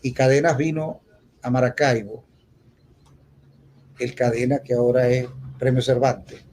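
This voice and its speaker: A Venezuelan man giving an unscripted public talk, reminiscing in a halting way and restating points with emphasis. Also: medium pitch, flat delivery, darkish tone. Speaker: poet speaking at a poetry festival.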